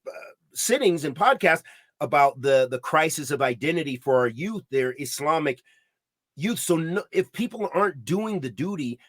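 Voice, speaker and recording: A man co-hosting a podcast, talking in an emphatic, preachy way with many restarts and Arabic religious terms, getting louder when heated. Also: a little high, no echo. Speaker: podcast co-host. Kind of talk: impassioned podcast discussion.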